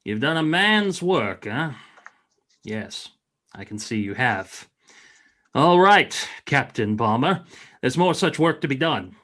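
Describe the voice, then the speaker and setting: Man as older sealing captain, fatherly, measured, authoritative, medium-pitched voice, radio drama. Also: big pitch swings, pushed and bright, heavily acted. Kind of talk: radio play acting.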